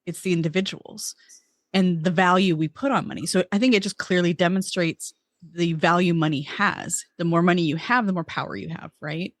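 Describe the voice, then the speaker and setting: A woman's voice: lowish voice, fairly even intonation, normal speed, dry recording. A woman hosting a podcast interview, thinking aloud in a reflective way with some restarts. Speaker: podcast host.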